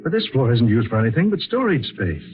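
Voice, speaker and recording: A man's voice: mid-range voice, sing-song, quick pace, over music, natural voice. An American actor playing a skeptical but caring male companion in a 1940s radio drama. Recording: muffled, lo-fi vintage radio broadcast recording.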